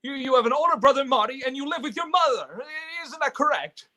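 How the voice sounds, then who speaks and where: A man's voice: extremely high pitch, animated, fairly quick, bright, forceful tone, exaggerated voice. A man playing an emotional, stammering family man in a live radio play, speaking with breathless urgency. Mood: urgent, pleading. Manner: stammering, impassioned, breathless pleading. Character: frantic, despairing then overjoyed family man.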